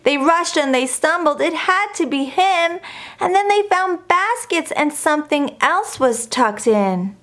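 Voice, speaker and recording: A woman reading a rhyming children's picture book aloud in an expressive, deliberate storytelling manner. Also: high-pitched. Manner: measured, deliberate storytelling delivery. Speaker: storybook reader.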